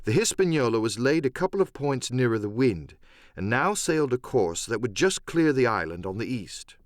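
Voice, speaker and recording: A man narrating a scripted dramatic first-person story as an audiobook, with measured, expressive storytelling. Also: medium pitch, dry recording, studio quality.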